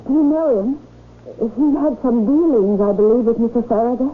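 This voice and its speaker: An actress playing an anxious, polite wife seeking help, in an old-time radio drama style. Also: female voice, fairly high pitch, moderate pace, soft background music, lightly acted. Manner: polite, worried, hesitant. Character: anxious young wife seeking her missing husband. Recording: noisy, tinny radio drama recording.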